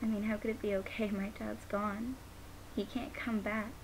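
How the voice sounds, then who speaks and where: A woman's voice: medium pitch, flat delivery, normal speed, natural voice, poor audio. Young woman acting a grieving, guilt-ridden daughter. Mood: wistful grief, then bitter hurt. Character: grieving, guilt-ridden daughter who lost her father. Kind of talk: dramatic monologue. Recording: noticeable background hiss.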